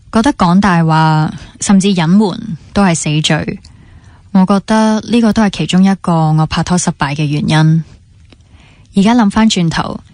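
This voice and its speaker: A mellow, lowish voice in a very dry recording: an actress playing a thoughtful, forgiving young woman. She talks naturally in Hong Kong Cantonese, as in a radio drama confidence. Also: dry recording, lightly acted.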